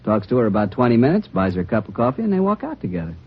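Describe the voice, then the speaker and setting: A nervous counterman at an all-night donut shop who rambles on, says sorry and repeats himself. The husky voice swings lively in pitch. It comes through a dull, muffled 1950s radio broadcast. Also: very fast. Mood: chatty. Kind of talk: 1950s radio drama acting.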